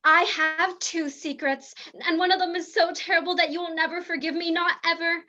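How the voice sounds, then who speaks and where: Radio soap parody heroine played by a woman: high-pitched, melodramatic, besotted and secretive; muffled lo-fi sound. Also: steady tone, moderate pace, bright, forceful tone. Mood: confessing. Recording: dull lo-fi recording.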